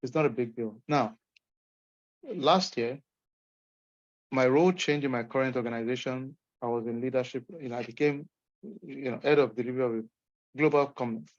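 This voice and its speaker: A man's voice: mid-range voice, steady tone, normal speed, pauses often. A man from Nigeria with a Nigerian accent, an instructor answering questions in an online session in an emphatic, encouraging way. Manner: encouraging, emphatic, folksy asides. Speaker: course instructor. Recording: muffled lo-fi call audio.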